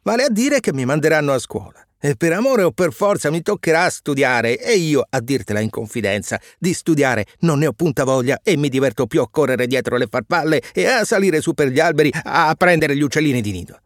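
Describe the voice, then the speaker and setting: A man voicing a cheeky, defiant wooden puppet boy in a storybook reading, loud and pushy. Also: animated, fairly quick, few pauses, no echo, studio quality, lightly acted. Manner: loud, pushy, high-pitched.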